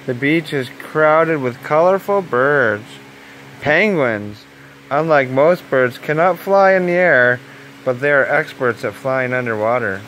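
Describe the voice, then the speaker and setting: Higher-voiced man reading a bedtime story. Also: a bit bright, a little hiss or hum, low-quality recording. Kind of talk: bedtime story narration for children.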